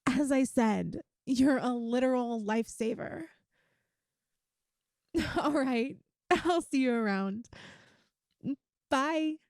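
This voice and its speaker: A woman's voice: sits high, slow pace, pauses often, darkish tone, no room sound. A woman voicing a playful, affectionate young lamia best friend in an intimate, chatty ASMR roleplay. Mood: cheerful, teasing. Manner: teasing, chatty, giggly. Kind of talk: ASMR roleplay, one-sided intimate conversation.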